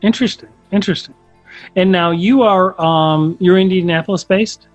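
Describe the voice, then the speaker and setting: A man's voice: sits high, normal speed, muffled recording, faint music behind. A man hosting a podcast interview, chatty and casual, with frequent stumbling restarts. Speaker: podcast host.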